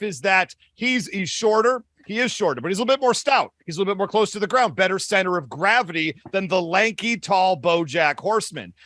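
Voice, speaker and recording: A man with a high, bright voice makes a comic case as a debate contestant, recorded close and dry. He builds his points through repetition and little asides. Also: no room sound. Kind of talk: comic improvised argument.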